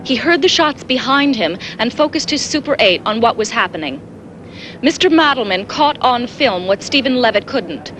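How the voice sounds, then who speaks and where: An actress playing a composed female TV field reporter, delivering breaking news in measured, formal style. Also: on the high side, a bit bright. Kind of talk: 1980s TV news bulletin acting. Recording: faint noise, 1980s TV broadcast.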